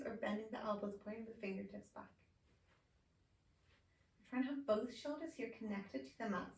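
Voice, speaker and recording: A woman teaching a yoga class, giving calm, slow, soothing cues while she is losing her voice. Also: medium pitch. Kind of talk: guided yoga instruction. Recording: roomy, distant mic, faint ambience.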